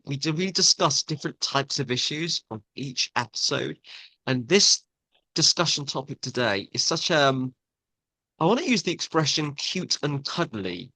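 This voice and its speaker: A man's voice: mid-range voice, pushed and bright, dry recording, low-quality recording. A man hosting a podcast, opening the episode in an upbeat, chatty way with small restarts. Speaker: podcast host. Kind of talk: podcast introduction.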